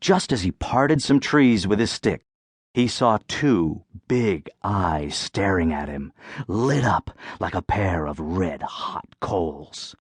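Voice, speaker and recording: A man reading scripted folk-tale narration as an audiobook narrator, with clear phrasing and a dramatic storyteller's delivery. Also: sits low, lively intonation, slow pace, no echo, studio quality.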